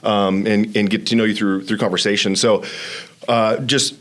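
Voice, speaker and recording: Halting, low-voiced male interview host. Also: fairly even intonation, fairly bright tone. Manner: halting, repeats words often. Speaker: podcast host. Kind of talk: conversational interview.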